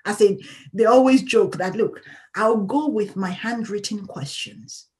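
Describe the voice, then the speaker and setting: A woman's voice: mid-range voice, measured pace, mellow. A woman guest in an interview, talking candidly as a patient advocate in an emphatic, outspoken way and laughing often.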